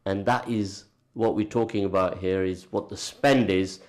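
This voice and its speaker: A man's voice: low voice, steady tone, normal speed. A man hosting a radio interview, chatty and emphatic, often exclaiming in disbelief. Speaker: radio presenter.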